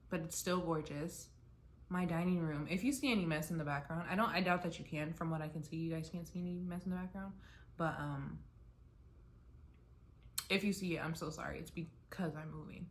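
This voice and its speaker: Young American woman, lowish voice, casual vlogger who rambles and restarts a lot. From United States. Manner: chatty, rambling, many "like" fillers and restarts. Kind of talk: casual rambling chat to camera. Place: her apartment, mid-packing.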